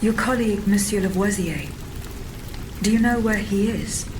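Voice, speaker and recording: Female automaton guard for a game cutscene, actress: calm, clipped, emotionless duty, mid register, clear background noise. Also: medium pitch, moderate pace, natural voice. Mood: cool, dutiful. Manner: calm, terse, formal. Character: composed female automaton bodyguard, dutiful and cool. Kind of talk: dramatic video game cutscene acting.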